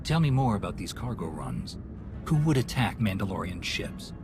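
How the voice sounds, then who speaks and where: An actor playing a composed, heroic knight in a video game, speaking calmly, concisely and earnestly. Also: male voice, low voice, moderate pace, noisy background. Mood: calm, attentive. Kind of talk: video game dramatic acting. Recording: music under the voice.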